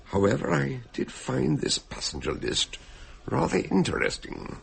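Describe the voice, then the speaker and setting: An actor playing a polite, sensible doctor who tells a shipboard mystery in a measured, hesitant way, radio drama style. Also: male voice, mid-range voice, huge pitch swings, vocal fry, a little hiss or hum, full character voice. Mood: measured, explanatory. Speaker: narrator and character in a radio mystery. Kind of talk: radio drama storytelling and dialogue.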